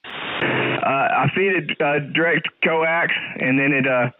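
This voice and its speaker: A man's voice: a little high, slow, slight background noise. American man over a tinny, staticky ham radio. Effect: two-way radio. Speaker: amateur radio caller. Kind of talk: casual ham radio chat.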